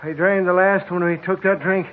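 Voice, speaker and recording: A man playing a stubborn, level-headed desert traveler in old radio drama style, gruff, insistent and folksy. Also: sits high, fairly even intonation, moderate pace, lightly acted. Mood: exasperated. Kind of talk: 1940s radio drama acting. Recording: muffled, tinny old radio recording.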